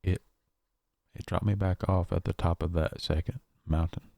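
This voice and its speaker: A man playing a shaken, paranoid survivor recording a horror audio log, speaking haltingly with long pauses. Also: low voice, steady tone, creaky, a little mellow, dry recording, studio quality. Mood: weary, reflective. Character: shaken, paranoid male survivor of a nightmare realm.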